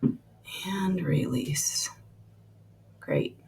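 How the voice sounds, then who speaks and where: A woman guides a relaxation meditation at a very unhurried pace. Her voice is heavy with vocal fry and has a husky, breathy edge. She gives gentle instructions in lingering phrases that soften as they trail away. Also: sits low, sing-song.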